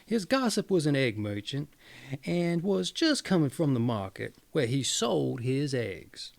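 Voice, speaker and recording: Animated man reading a fairy tale. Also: mid-range voice, unhurried, creaky. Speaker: storyteller.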